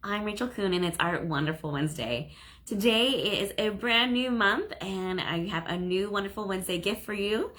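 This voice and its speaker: A woman hosts a crafting video in a chatty, enthusiastic way, gushing over each card she shows. Her voice sits at an ordinary middle pitch and has a slight hoarseness left over from a cough. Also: sharp tone. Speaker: stamping demonstrator and channel host. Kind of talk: casual crafting video walkthrough.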